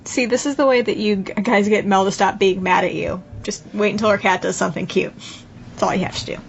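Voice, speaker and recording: A woman's voice: mid-range voice, steady tone, quick pace, creaky, soft background music. Teasing woman co-host, lo-fi audio. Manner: teasing, stubborn, laughs often. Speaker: podcast co-host. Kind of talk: casual chat. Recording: dull lo-fi audio with faint background noise.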